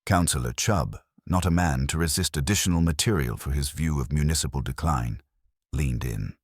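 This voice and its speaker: Very deep-voiced man narrating a mystery. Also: moderate pace, breathy edge, no room sound, clean studio sound. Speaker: audiobook-style narrator. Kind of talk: scripted literary mystery narration.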